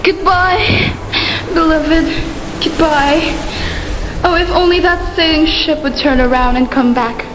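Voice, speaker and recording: Woman playing anguished devoted young queen, high voice, melodramatic, imploring, radio play, long reverb with music. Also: slow. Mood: tearful, sorrowful. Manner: melodramatic, imploring, formal old-fashioned diction. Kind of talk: amateur radio play acting of Greek myth. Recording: reverberant hall sound under music.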